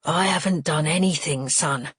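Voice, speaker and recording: A woman voicing a resentful, menacing older criminal father with a low, growling, snappish delivery in an audiobook. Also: a little slow, a bit bright, slightly rough, no room sound, full character voice.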